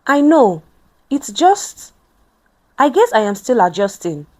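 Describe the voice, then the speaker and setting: Thoughtful, slightly insecure young father for a narrated story, played by a woman, high lively voice. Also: takes it slowly. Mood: hesitant, downcast. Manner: earnest, thoughtful.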